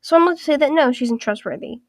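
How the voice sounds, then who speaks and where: Hoarse, congested woman narrating a video essay. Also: high voice, expressive, fast talker, no room sound, clean studio sound. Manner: structured, list-by-list evaluation. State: raspy, congested voice from illness.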